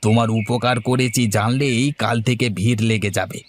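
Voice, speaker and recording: A man's voice: mid-range voice, fairly even intonation, moderate pace, lightly acted. Man playing a crafty, self-important cartoon village advisor. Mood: boastful, cajoling. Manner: boastful, cajoling, rhetorical questioning. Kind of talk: cartoon voice acting.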